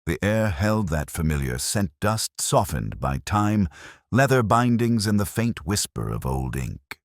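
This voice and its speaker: A man with an extremely low voice narrates a detective mystery as a brooding, weary investigator. His delivery is measured and solemn, yet the pitch moves expressively through each phrase. The recording is dry and close, with no sense of a room. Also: sing-song, no room sound. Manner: measured, literary, solemn storytelling. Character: brooding, world-weary male police detective recounting a case. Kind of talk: scripted first-person detective story narration.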